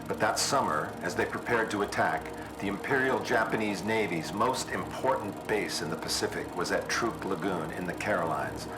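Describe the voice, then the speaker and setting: A man's voice: deep voice, animated, moderate pace, hardly any pauses, poor audio. A man giving scripted, dramatic historical narration in a grave, formal manner. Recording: music behind the voice.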